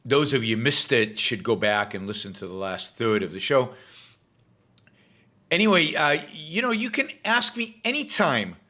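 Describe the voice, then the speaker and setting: A man hosting his talk show, hoarse from losing his voice, rambling with many fillers and restarts. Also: mid-range voice, very animated, moderate pace, bright, forceful tone. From Israel. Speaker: show host. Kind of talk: casual livestream talk.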